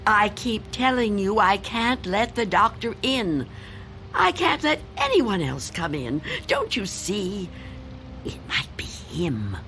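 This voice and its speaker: An actress playing a frightened, reclusive old woman in a radio drama, speaking in a halting, insistent, theatrical way. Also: mid-range voice, sing-song. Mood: fearful, insistent. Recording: loud music under the voice.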